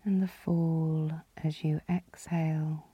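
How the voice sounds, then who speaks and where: A woman leading a guided yoga nidra relaxation as the guide, calm and soothing, with a soft, breathy, husky voice. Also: deep voice, slow pace, no echo. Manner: calm, soothing, gently instructing.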